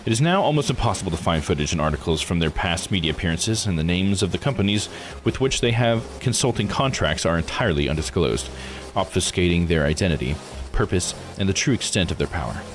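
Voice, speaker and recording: A man's voice: low voice, lively intonation, quick pace, background noise. A man streaming a visual novel, reading text aloud and adding casual commentary. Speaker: game streamer. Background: music behind the voice.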